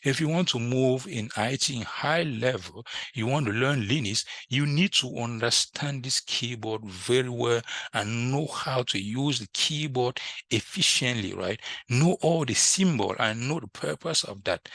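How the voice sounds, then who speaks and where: Bright-voiced man teaching. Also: medium pitch, slightly rough, no echo. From French-speaking country, moved to the US. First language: French. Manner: repetitive, instructive, often checks with "right?". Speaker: IT instructor. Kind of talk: informal screen-share tutorial.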